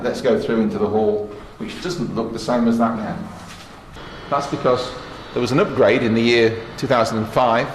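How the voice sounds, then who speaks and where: Male tour guide, mature, low pitch, plain explanatory delivery, echoey room with light noise. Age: middle-aged or older. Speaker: station engineer giving a guided tour. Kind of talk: informal technical tour narration. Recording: roomy echo with faint background noise.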